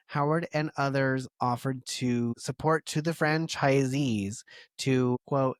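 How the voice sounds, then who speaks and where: A man co-hosting a podcast, chatting casually and reading from his notes with easy laughs. His voice is mid-pitched and sits in a dry, dead room. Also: no room sound. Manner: conversational, laughs easily, reads quotes aloud. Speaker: podcast co-host. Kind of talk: casual chat reading a story.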